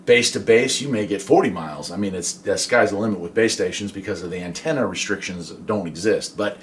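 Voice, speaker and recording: A man's voice: sits low, brisk, slight background noise. Folksy American man explaining things casually. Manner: folksy, conversational, uses rhetorical questions. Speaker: host, hobbyist explaining CB radio.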